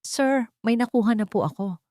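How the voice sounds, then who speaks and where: A woman's voice: a little high, normal speed, mellow, dry recording, studio quality, pitched above the performer's own voice, natural voice. A woman voicing a respectful private investigator who reports carefully in an audio story. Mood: discreet, serious. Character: deferential male private investigator reporting findings.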